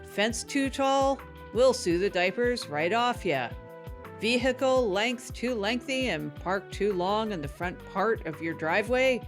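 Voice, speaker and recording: A woman's voice: medium pitch, expressive, unhurried. A woman delivers a scripted mock advertisement. Her mid-range voice is declarative as she presents the sponsor. Light music plays behind her. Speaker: sponsor ad reader.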